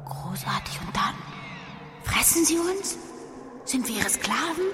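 A woman playing a tough, sharp-tongued female agent in a German radio drama, curt and commanding. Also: high voice, big pitch swings, a little slow, music in the background. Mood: alarmed, hushed.

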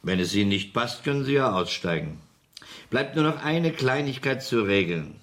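A smug gangster boss played by a man in a radio crime play. His mid-pitched voice swings widely as he threatens, mocks and gives orders with cool authority. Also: expressive, moderate pace, fairly bright tone, a little hiss or hum, lightly acted. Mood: cold, domineering. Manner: coolly threatening, mocking, commanding. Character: menacing, smug male gangster boss extorting partners. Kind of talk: 1970s German radio crime drama acting.

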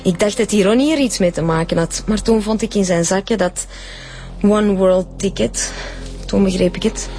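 An actress playing a bitter widow with a Flemish accent, confessing coldly in a radio drama. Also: female voice, medium pitch, moderate pace, a little hiss or hum, lightly acted. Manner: calm, cold confession. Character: Annie, bitter widow who killed her husband.

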